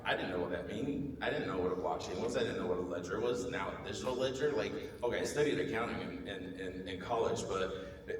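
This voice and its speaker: A Bulgarian-accented man with a low, slightly worn voice speaks earnestly on a conference stage, often restarting his sentences. The sound is roomy and far, with a long hall reverb. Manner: earnest, self-deprecating, many restarts. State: losing his voice. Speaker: panelist, marketing executive. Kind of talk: conference panel talk. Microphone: handheld mic. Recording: echoey hall, distant mic, faint noise.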